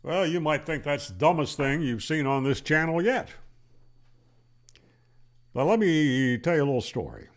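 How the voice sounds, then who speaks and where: A man's voice: a little high, big pitch swings, moderate pace, pauses often. A man hosting a hobby radio channel, explaining and telling stories in a folksy, conversational way. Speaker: ham radio channel host. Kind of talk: explanatory storytelling to camera.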